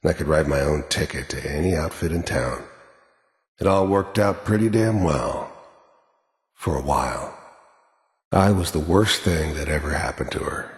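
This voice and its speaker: An actor playing a weary, hardened middle-aged mercenary in a video game, with a deep gravelly voice and a terse, plain-spoken manner. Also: male voice, lively intonation, moderate pace, long pauses. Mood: bitter, reflective. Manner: world-weary, terse, brooding, plain-spoken with tough-guy drawl. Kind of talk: video game character monologue. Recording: echoey with long reverb tail.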